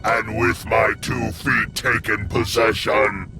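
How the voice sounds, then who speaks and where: An actor playing an ominous, imperious villain with a gravelly voice in a video game cutscene. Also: male voice, fairly low pitch, little pitch movement, takes it slowly, over music.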